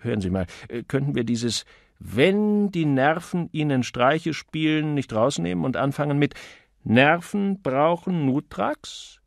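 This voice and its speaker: Guy doing a flustered ad manager in an audiobook, higher voice, pushy but unsure. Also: lively intonation, measured pace, creaky, dry recording, studio quality. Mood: insistent. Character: harried, insistent but unsure male advertising group manager.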